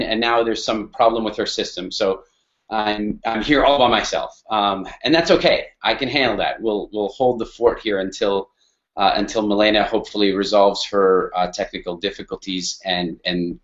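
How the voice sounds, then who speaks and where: A man's voice: fairly low pitch, fairly even intonation, moderate pace, a bit bright, lo-fi sound. A middle-aged Canadian man speaking casually on a webinar, hesitant, with many fillers and restarts. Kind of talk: casual spoken talk on a webinar.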